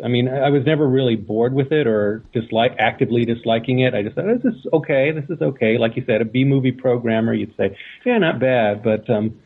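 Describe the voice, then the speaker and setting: A man giving a measured, conversational film review as a podcast panelist. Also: medium pitch, slightly rough. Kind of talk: casual film review discussion. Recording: thin, phone-like call line.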